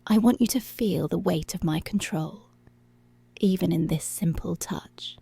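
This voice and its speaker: A woman playing a dominant, seductive policewoman in an intimate ASMR roleplay, breathy and deliberate, often dropping to a whisper. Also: lowish voice, warm tone, dry recording, exaggerated voice. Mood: slow, commanding. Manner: slow, deliberate, commanding.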